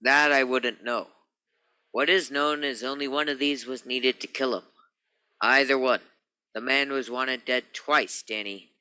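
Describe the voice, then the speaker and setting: A nervous, morbidly musing male morgue doctor acted by a man in a radio drama. His voice is very bright and forceful, crisp and close in a dry space, with theatrical, clipped phrasing. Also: mid-range voice, fairly even intonation, a little slow, no echo, poor audio. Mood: grave, insistent. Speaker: actor in a radio play. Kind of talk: theatrical radio-play dialogue.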